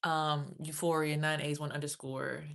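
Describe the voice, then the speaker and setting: A woman's voice: sits low, level tone, normal speed, a bit bright. A young woman, chatting loosely on a livestream, rambling about spiritual topics and swearing freely. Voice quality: raspy. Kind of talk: casual livestream chat.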